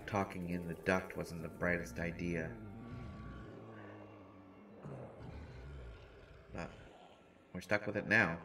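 Small, wisecracking teen boy, a man acting him, lowish slow voice, audio drama over noisy hiss. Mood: hushed, tense. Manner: rambling, self-mocking, nervous humor. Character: short teenage boy, joking and self-deprecating. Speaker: voice actor in an audio roleplay. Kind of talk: listener-directed audio roleplay.

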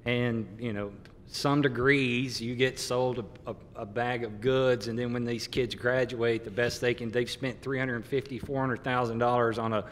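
A man's voice: fairly even intonation, moderate pace. Plain-spoken man on a hall panel. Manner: emphatic, plain-spoken, repeats words. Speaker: contractor panelist. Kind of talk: panel discussion. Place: conference hall stage panel. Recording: reverberant conference hall, faint rumble.

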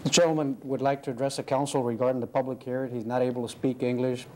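A man's voice: medium pitch, level tone, quick pace, warm tone, a little hiss or hum, low-quality recording. A man chairing a city council meeting, calling for speakers in a formal, courteous manner. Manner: formal, courteous procedural phrasing.

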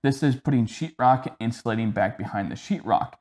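A man's voice: medium pitch, a little fast, darkish tone. A young man giving an informal explainer to camera as the host of a how-to video, recorded in a garage. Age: young adult. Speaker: host of a home gym tips video. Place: indoors in a garage converted to a gym.

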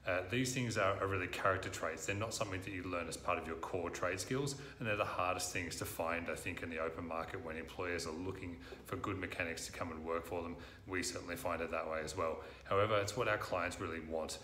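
An Australian man in his thirties presents to camera from an office. His voice sits low but sounds bright and forceful. He talks earnestly, filling pauses with "uh", and the room adds a noticeable echo. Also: fairly even intonation, a little hiss or hum. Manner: earnest, frequent "uh" fillers. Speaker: recruitment company presenter. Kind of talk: direct-to-camera talk. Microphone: lapel mic.

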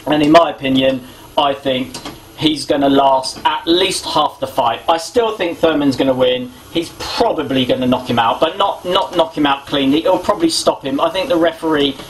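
A young British man giving casual sports opinions as a vlogger, haltingly thinking aloud, recorded in a home kitchen on a camera mic. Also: fairly high pitch, rough recording. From Britain. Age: young adult. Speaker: boxing vlogger. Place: small domestic kitchen indoors. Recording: clear background noise, homemade camera audio.

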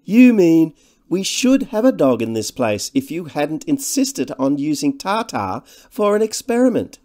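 A man voicing a slender younger gentleman in a storybook, glib, breezy and sardonic. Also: fairly high pitch, sing-song, a little slow, pitched above the performer's own voice. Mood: needling. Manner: breezy, mocking.

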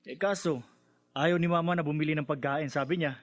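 A man's voice: fairly high pitch, fairly even intonation, brisk, dry recording, close to an everyday voice. A man playing a defensive, put-upon young adult son in a Filipino radio drama, with a protesting manner. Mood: resigned.

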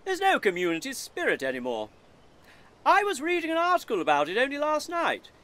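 A woman's voice: high-pitched, huge pitch swings, fairly quick, a bit bright, full character voice. A woman with a British accent playing an earnest, polite, nosy male neighbour in an audio comedy play.

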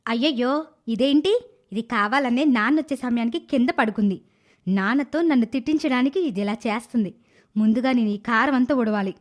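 Woman voicing a high-pitched spiteful young girl. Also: a little fast, natural voice. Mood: scheming, annoyed. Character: spiteful young village girl, scheming stepsister. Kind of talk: animated moral story dialogue.

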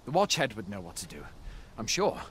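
A man's voice: lowish voice, wildly swooping pitch, a little fast, a bit bright, a little hiss or hum. A man playing an armored soldier, wary but helpful, in a fantasy game with archaic, theatrical phrasing. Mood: puzzled.

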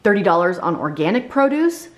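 A woman in her thirties giving a casual, candid review at home, chatty and quick to laugh at herself, filmed by a camera on the counter in her kitchen. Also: on the low side, sing-song. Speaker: vlogger. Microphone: camera on a counter at medium distance. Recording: echoey kitchen room.

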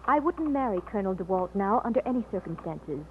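A woman's voice: medium pitch, lively intonation, fast talker, natural voice. An actress playing an ardent, determined young woman in love, earnest and melodramatic, in an old-time radio romance. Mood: hurt, determined. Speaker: radio drama actor. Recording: scratchy old radio, narrow muffled band.

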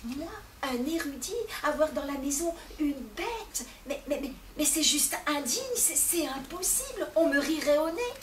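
A woman's voice: sits high, lively intonation, measured pace, few pauses, a bit bright. A woman doing a flustered pompous old scholar. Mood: indignant. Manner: pleading, sputtering, stammering. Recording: echoey room, far from the mic, noisy.

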